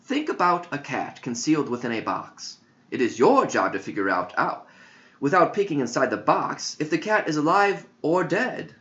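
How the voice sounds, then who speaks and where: A man's voice: on the high side, very animated, moderate pace, a bit bright, pitched above the performer's own voice. A man voicing a wise, patient but challenging woman mentor in an audiobook story, speaking directly and instructively. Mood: explaining. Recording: dull lo-fi audio.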